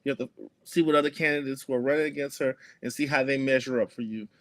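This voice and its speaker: A man's voice: medium pitch, fairly even intonation, fast talker, fairly bright tone. A man, speaking earnestly as an activist guest in an interview, with many fillers and restarts. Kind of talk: conversational interview.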